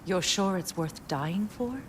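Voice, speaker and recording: A woman voicing a frank, grave warrior ally in a video game, serious, measured and direct. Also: lowish voice, lively intonation, noisy background. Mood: grave, concerned. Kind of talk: dramatic video game acting.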